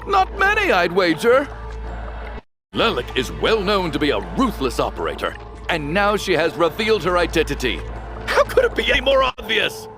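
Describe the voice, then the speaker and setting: A man's voice: sits high, huge pitch swings, normal speed. A man playing a pompous, blustering, suspicious rich windbag in a video game, theatrical and accusatory. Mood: insinuating. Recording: background music.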